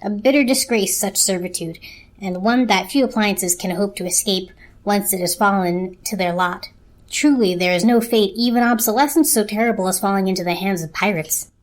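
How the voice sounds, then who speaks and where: Woman narrating a story, medium pitch. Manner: measured, literary storytelling. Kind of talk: scripted dramatic story narration.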